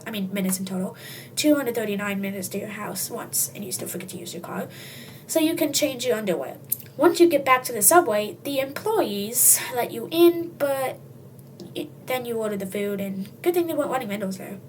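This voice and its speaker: A high, youthful voice reading a comic story aloud in a dramatic, tongue-in-cheek way. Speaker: storybook reader. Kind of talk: comic read-aloud narration in second person. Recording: distant mic, faint background noise.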